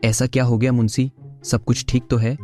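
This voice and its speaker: A man's voice: medium pitch, flat delivery, normal speed, dark tone, natural voice. A man voicing a stingy, shrewd rich village boss in a cartoon, with a condescending manner. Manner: condescending, sarcastic. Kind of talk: Hindi cartoon voice acting. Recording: music behind the voice.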